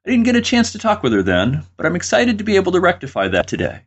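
A man hosts an interview podcast in a fairly high, husky voice. His delivery moves up and down in pitch as he presents and questions. The sound is a little dull and lo-fi. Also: wildly swooping pitch, fast.

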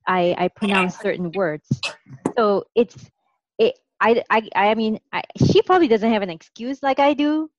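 A woman's voice: medium pitch, moderate pace, muffled recording. A woman from Singapore co-hosting a podcast, speaking with a light Singaporean accent under an American-leaning one, chatty and full of "like" fillers. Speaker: podcast co-host. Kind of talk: casual podcast chat.

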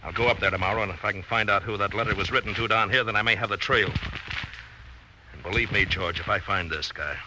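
A man's voice: on the low side, fast, lightly acted. An actor playing a determined man tracking down his blackmailer in an old-time radio drama, in a purposeful, emphatic way. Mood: intent, determined. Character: determined male blackmail victim turned amateur sleuth. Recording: noisy old radio recording.

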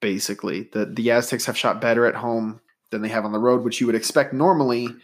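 A man's voice: mid-range voice, fairly even intonation, quick pace. A man hosting a solo sports podcast, talking in a rambling, conversational way with a stuffy nose. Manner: conversational, rambling, data-minded. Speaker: podcast host.

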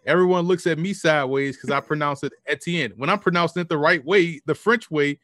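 A man's voice: fairly high pitch, fairly quick, a bit bright, dry recording. Dry-voiced man from New Orleans. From New Orleans, Louisiana. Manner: explanatory, restarts phrases often. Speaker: football analyst guest. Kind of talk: sports podcast interview.

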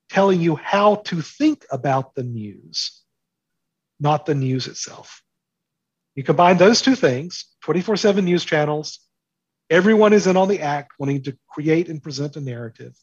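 A man with a slightly high, husky voice talks as an interview guest. He explains in an emphatic way, repeating key phrases for stress. The sound is dry and a little muffled. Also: animated, dry recording. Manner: emphatic, with deliberate repetition for stress. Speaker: interview guest, author and commentator.

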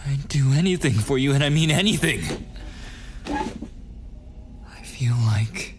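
An actor playing a possessive, obsessive and menacing young boyfriend in an intimate ASMR audio roleplay, slipping into breathy whispers. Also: male voice, medium pitch, animated, takes it slowly, noisy background. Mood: wounded devotion.

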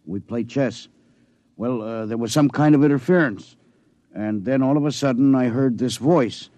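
Actor as mellow, hesitant ham radio hobbyist. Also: male voice, mid-range voice, moderate pace, no echo, natural voice. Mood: hesitant, earnest. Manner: hesitant, plain-spoken. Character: mild, slightly self-conscious amateur radio hobbyist being interviewed. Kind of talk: 1950s radio drama, naturalistic testimony. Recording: dull, dry old radio recording.

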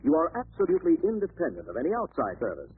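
Animated male old-time radio announcer. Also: fairly high pitch, racing, breathy edge. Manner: emphatic, formal, declamatory. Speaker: announcer and narrator. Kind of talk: 1930s-40s radio announcing, scripted narration and sponsor read. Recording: tinny old radio recording, faint noise.

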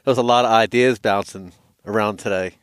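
A man's voice: lowish voice, steady tone, a little fast, smooth, dry recording. A man in his twenties chatting informally in a joking way. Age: 20s. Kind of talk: casual chat. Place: outdoors by a campfire.

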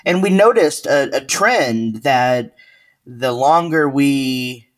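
A man co-hosting a podcast, speaking in an earnest, measured way with "um" pauses. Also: mid-range voice, expressive. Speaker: podcast co-host. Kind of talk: casual podcast chat.

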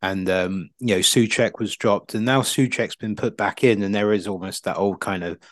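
A man with a fairly low voice co-hosts a football podcast in a casual style. He thinks aloud, tentative and full of qualifications, in a very dry recording. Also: steady tone, moderate pace, fairly bright tone, no room sound. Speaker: podcast co-host.

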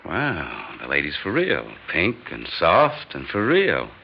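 Cocky sarcastic pr guy, deep and lively, dull lo-fi old radio sound. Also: slow pace, creaky, noisy background, full character voice. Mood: brash. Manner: brash, sarcastic, flirty. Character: wisecracking male public relations man. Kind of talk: 1960s radio drama acting.

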